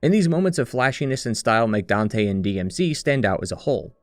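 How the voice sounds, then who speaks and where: A man's voice: medium pitch, very animated, fast talker, dry recording, clean studio sound. A man giving a scripted narration for a video game retrospective.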